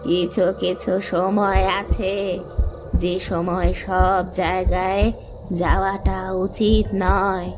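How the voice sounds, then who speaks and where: A woman voicing a sinister, mocking ghostly old village woman in a horror cartoon, with a drawn-out, menacing delivery. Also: mid-range voice, flat delivery, music in the background, lightly acted. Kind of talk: Bengali horror cartoon acting.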